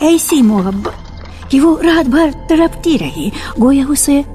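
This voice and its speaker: A woman narrates a scripted literary tale for radio at a very leisurely pace. Her voice is fairly high yet mellow, with deliberate, expressive emphasis. Faint music sits behind her in the archival broadcast.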